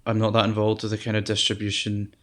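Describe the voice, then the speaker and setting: A young man with a soft, gentle voice, talking as a guest in a casual interview. He speaks low and with little rise and fall in pitch. He pauses often and fills the gaps with ums and restarts. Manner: relaxed, hesitant, many ums and restarts. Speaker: guest musician.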